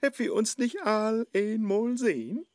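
An actor playing a hapless, lovestruck Faust in a comic rhymed radio play, with a Low German accent and theatrical asides. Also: male voice, animated, a little slow, noisy background. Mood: smitten, wooing. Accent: Low German, northern Germany. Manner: theatrical, exclaiming, muttering asides. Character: lovestruck, hapless modern Faust chasing a young woman.

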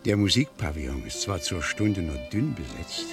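Deep, creaky, talkative gentleman. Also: very animated, moderate pace, music in the background. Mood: genial, ironic. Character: genial, garrulous male spa regular, drily ironic. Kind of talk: 1970s German radio play acting.

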